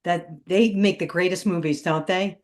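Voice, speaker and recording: A woman's voice: lowish voice, steady tone, normal speed. A woman hosting a faith show, asking questions and affirming her guest. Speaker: show host. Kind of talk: interview questions.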